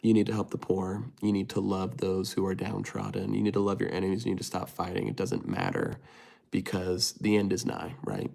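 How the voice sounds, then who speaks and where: A man's voice: low voice, fairly quick, creaky, a little mellow. A man hosting a casual livestream, reading viewer comments aloud and answering them in a rambling way with many restarts. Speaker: livestream host reading chat.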